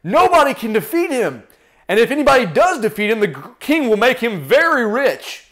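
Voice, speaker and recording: A man acts out a frightened soldier in a Bible story. The voice jumps high into a panicky, fearful wail, cowering before danger. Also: big pitch swings, fairly quick, fairly bright tone, heavily acted.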